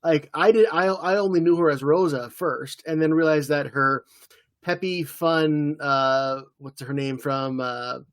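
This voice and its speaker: Man, podcast co-host, relaxed plot recap, fairly high voice, playful impressions. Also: measured pace. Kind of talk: casual chat about a TV episode.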